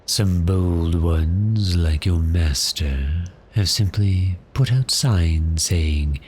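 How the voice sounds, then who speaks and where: A suave, predatory male vampire, acted by a man for an ASMR roleplay, speaks in an extremely low voice. He draws his words out very slowly, with seductive, taunting menace and breathy phrase endings. Faint noisy ambience sits behind him. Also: darkish tone. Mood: smooth menace. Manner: slow, deliberate, seductive, taunting. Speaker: audio roleplay performer.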